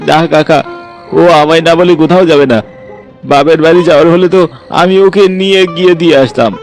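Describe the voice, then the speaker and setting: A man plays a young village husband in a horror cartoon. He sounds high-pitched and distraught, stammering anxiously. Soft music runs underneath. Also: fairly quick, close to an everyday voice.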